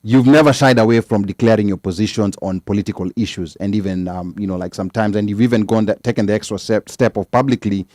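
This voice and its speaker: A man's voice: on the low side, fast talker, hardly any pauses, a little mellow, no echo. Host guy, upbeat, repeats himself a lot, dry sound. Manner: upbeat, often repeats words as he talks. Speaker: talk show host. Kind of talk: hosting a live interview show.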